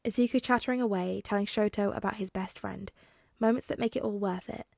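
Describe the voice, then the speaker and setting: A woman reading fan fiction aloud in a gentle, even storytelling manner. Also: mid-range voice, a little fast, creaky, no echo, studio quality. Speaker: audiobook reader.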